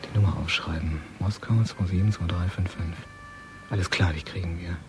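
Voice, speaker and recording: A man's voice: sits low, steady tone, moderate pace, background noise, natural voice. An actor playing a cold, calculating criminal man who mutters his scheming thoughts in clipped, sneering phrases in a radio play. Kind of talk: radio play interior monologue. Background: music.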